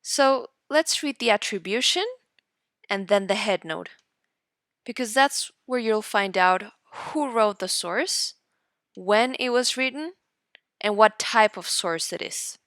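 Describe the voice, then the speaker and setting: A woman teacher reading aloud for students in an instructional way, deliberately and in short guiding phrases. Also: medium pitch, animated, a bit bright, no room sound, studio quality. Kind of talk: instructional read-aloud lesson narration.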